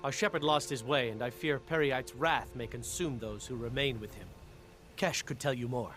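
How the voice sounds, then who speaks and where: A man's voice: mid-range voice, normal speed, fairly bright tone, soft background music, lightly acted. A man voicing a sickly, bitter and resigned pilgrim in a fantasy video game, speaking in wry, formal phrases. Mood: resigned, anxious.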